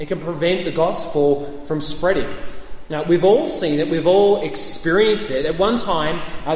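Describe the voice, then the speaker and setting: A man's voice: a little high, slight background noise. Man preaching in an echoey church hall. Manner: conversational preaching with fillers and light humor. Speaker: pastor. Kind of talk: sermon. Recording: echoey hall, dull lo-fi sound.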